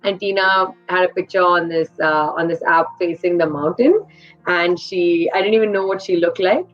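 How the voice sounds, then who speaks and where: Young woman from india raised in qatar, chatty and giggly, lowish voice, dull lo-fi sound. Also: normal speed, soft background music. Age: young adult. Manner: chatty, filler-heavy, giggly. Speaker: interview guest. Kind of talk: casual personal storytelling. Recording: dull lo-fi audio.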